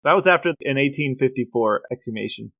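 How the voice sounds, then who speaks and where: A man's voice: mid-range voice, lively intonation, a little fast, vocal fry, a bit bright, dry recording. A man with an American accent sharing stories in casual podcast conversation, with dry, understated humor. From Elmore, Ohio, United States. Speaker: podcast co-host. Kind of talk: casual chat with researched stories.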